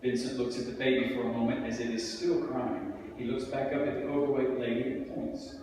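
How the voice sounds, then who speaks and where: A man's voice: mid-range voice, steady tone, fairly quick, darkish tone, recorded from a distance, slight background noise. Man narrating in a very echoey hall. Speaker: stage direction reader. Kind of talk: scripted narration at a table reading. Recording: very echoey hall from afar, music.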